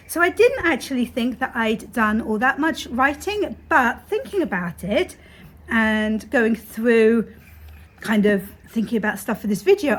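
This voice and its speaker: A young woman talks casually to her camera, her voice slightly croaky as she gets over being unwell. She chats on in a rambling way with plenty of ums and likes, pitched a touch higher than most. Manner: chatty, rambling with fillers. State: croaky from illness. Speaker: author vlogger. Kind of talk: casual vlog talk to camera.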